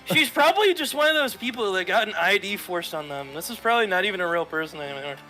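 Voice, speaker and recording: A woman's voice: mid-range voice, animated, quick pace, pushed and bright, faint music behind, low-quality recording. A woman chatting casually and jokingly with friends during a game read-along. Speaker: amateur voice reader in a game playthrough.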